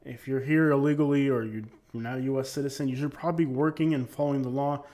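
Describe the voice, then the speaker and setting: A man's voice: medium pitch, steady tone, fast, mellow. A man hosting an opinion channel, delivering blunt, emphatic commentary that keeps repeating its points. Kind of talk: opinionated commentary on arrest records.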